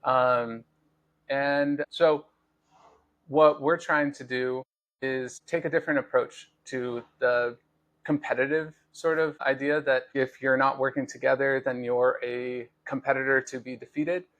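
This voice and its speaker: A man's voice: mid-range voice, level tone, a little slow, fairly bright tone, low-quality recording. A man hosting a podcast, explaining his work in an earnest, thoughtful way with frequent fillers and restarts. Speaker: podcast host, grower. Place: Los Angeles studio.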